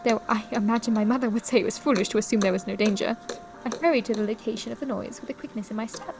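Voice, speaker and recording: A woman's voice: on the high side, rapid-fire, close to an everyday voice. A woman playing a proper, caring young lady of 1780s Vienna in an audio drama, with formal, genteel storytelling phrasing. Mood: composed, recounting. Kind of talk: first-person audio drama narration. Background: music.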